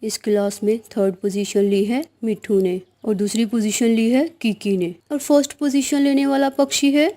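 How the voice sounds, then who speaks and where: A woman voices a strict lady bird teacher in a children's cartoon. She is brisk and authoritative, with a fairly high but mellow voice. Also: steady tone, lightly acted. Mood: announcing. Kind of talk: children's cartoon story acting.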